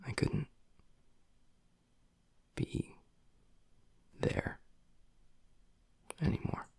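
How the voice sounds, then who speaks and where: A man voicing a gruff but tender young werewolf boyfriend in an intimate audio roleplay, husky and breathy, speaking low and haltingly. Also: no echo. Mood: wistful, tender. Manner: low, halting, half-whispered delivery.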